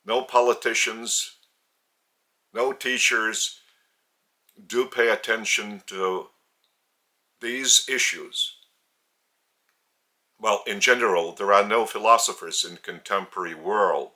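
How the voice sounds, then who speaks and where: A man with a Lithuanian accent delivers a spiritual teaching at home, speaking in English rather than his native Lithuanian. His voice sits a little low yet has a bright, forceful edge. He moves very slowly and deliberately, leaving long pauses between phrases. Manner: slow, deliberate and earnest, with many pauses. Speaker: religious teacher. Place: indoors at home.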